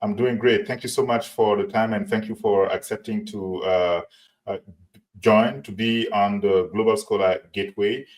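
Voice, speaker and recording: A man hosting an interview, asking long questions with frequent pauses and restarts. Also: fairly low pitch, fairly even intonation, a little mellow. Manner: halting, many fillers and restarts. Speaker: interview host.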